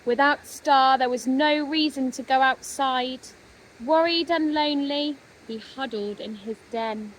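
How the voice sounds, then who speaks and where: A woman narrating a children's story expressively, with gentle dramatic pauses. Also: sits high, slight background noise, rough recording. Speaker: storybook reader.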